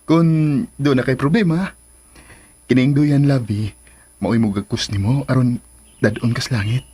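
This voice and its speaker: A man's voice: mid-range voice, moderate pace, dark tone, breathy edge, natural voice. A man acting in a radio drama as an ardent, pleading sweetheart. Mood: playful, flirting. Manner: swooping, melodramatic delivery. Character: ardent, pleading male sweetheart insisting on his identity. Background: faint music bed.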